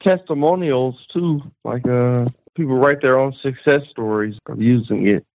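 A man pitching comedy ideas on a podcast in a hesitant way, full of "like" and "uh". His voice comes through thin and phone-like, dry with no room sound. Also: moderate pace, no echo. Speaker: comedian guest. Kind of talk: casual comedy podcast chat.

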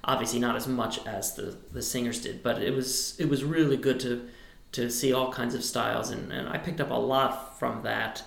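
A man's voice: steady tone, moderate pace, gravelly, a bit bright. Choir director, male tenor, talks in loose rambling answers, roomy sound. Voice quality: high tenor speaking voice. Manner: thoughtful, rambling with restarts. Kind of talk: relaxed interview answers.

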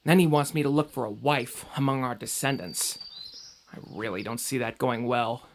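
A man's voice: medium pitch, moderate pace, creaky, a little hiss or hum, close to an everyday voice. An actor playing a doubtful, earnest young man in an audio drama, speaking in a conversational, questioning way. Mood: somber, explaining.

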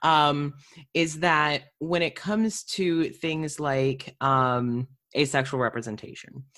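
A woman interviewer and actor chatting casually, animated and slipping into imagined quotes as she talks. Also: low-pitched, slow.

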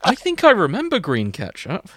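A man's voice: high-pitched, huge pitch swings, normal speed, noisy background. A man hosting a podcast, chatting casually and jokily. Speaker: podcast host. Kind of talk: casual comic chat.

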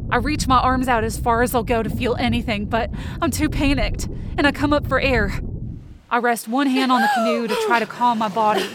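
A woman's voice: a little high, sing-song, a little fast, background noise. A woman playing a panicked young woman, narrating a desperate scene in the first person with urgent intensity for a fiction podcast. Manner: urgent, intense, breaking into cries.

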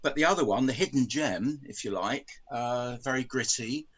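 A man's voice: mid-range voice, fairly bright tone, breathy edge. An older man speaking as a podcast guest over a video call, thoughtful and hesitant, with frequent ums. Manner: hesitant, many ums and pauses. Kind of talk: casual video-call chat.

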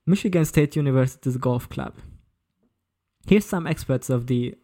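A man's voice: medium pitch, vocal fry, mellow. A man presenting a story on a casual comedy podcast, partly reading from notes. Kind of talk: casual presentation with read-out excerpts.

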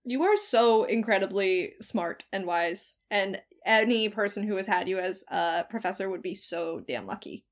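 Blunt, enthusiastic woman co-host. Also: mid-range voice. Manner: enthusiastic, blunt, swears, quick interjections. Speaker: podcast co-host.

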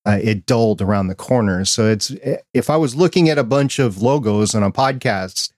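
A man's voice: lowish voice, animated, normal speed, a little mellow, no room sound, studio quality. A man hosting a podcast interview in a casual, conversational way, phrasing his thoughts with deliberation. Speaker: podcast host.

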